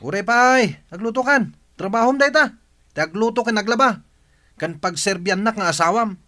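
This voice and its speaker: A man's voice: sits high, normal speed, sharp tone. A man with an Ilocano accent playing blunt village men in a radio comedy drama, emphatic and colloquial. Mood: jealous, bossy.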